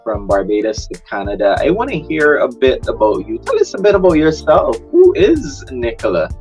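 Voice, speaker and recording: A man's voice: mid-range voice, huge pitch swings, moderate pace, a little mellow, faint music behind. A man hosting an interview, asking probing questions and steering his guest. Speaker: host.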